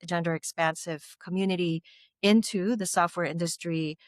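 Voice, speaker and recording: A woman's voice: fairly low pitch, steady tone, moderate pace, dry recording, clean studio sound. A middle-aged woman from the Philippines, a former tech executive giving articulate, reflective interview answers with the odd light laugh.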